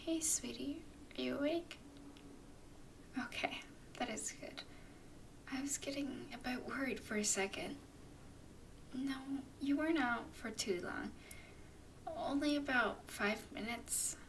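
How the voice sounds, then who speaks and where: A woman playing a tender, doting vampire girlfriend in a soothing ASMR roleplay, mostly in breathy whispers. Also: high voice, recorded from a distance, slight background noise. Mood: caring, proud. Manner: soothing, affectionate, unhurried. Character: tender, doting female vampire girlfriend comforting her nervous partner.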